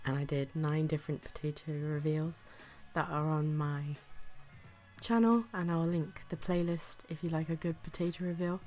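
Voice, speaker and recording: A woman talks through her gardening vlog in a low, flu-roughened voice, her breathing audible. She keeps it chatty and self-deprecating, with the odd whispered aside. Faint music plays under her narration. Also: steady tone, normal speed, warm tone. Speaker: gardening vlogger.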